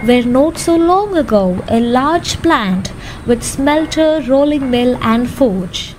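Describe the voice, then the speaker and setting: A young woman narrates a radio play from a script. Her voice is high, and it sits over clearly audible background noise and ambient sound, as in an amateur production. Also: animated, slow, a little mellow, low-quality recording. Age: young adult.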